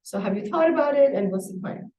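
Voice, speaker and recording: A woman with a soft, mellow tone speaks as an instructor reviewing student work, pressing with questions. Her voice comes from some distance away in a reverberant room. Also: fairly high pitch, lively intonation, fast. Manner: probing, asking follow-up questions. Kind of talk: spoken question-and-feedback session. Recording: echoey room, distant microphone.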